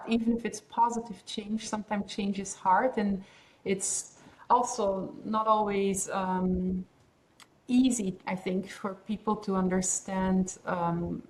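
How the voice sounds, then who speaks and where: A woman with a Belgian accent speaking as a podcast guest, her voice sitting in an ordinary middle pitch. She explains things in a measured, thoughtful way, pausing on fillers as she works through her points. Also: poor audio. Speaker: pharmaceutical research head and guest. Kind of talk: podcast interview.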